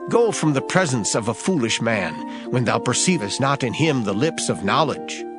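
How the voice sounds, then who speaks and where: Male reader for dramatized scripture, solemn and declamatory, very animated intonation, set over music. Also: medium pitch, moderate pace. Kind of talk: dramatized Bible reading.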